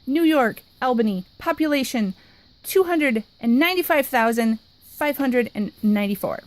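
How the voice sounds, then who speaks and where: A woman voicing a loud, boastful female capybara pupil who blurts out answers and interrupts. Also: high voice, expressive, moderate pace, no echo, poor audio.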